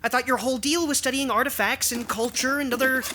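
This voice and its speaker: An actress playing a driven, stubborn, eager sibling in an audio drama, wry and insistent. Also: female voice, sits high, quick pace, bright, forceful tone, slight background noise. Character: driven, stubborn, eager sibling chasing a late father's research.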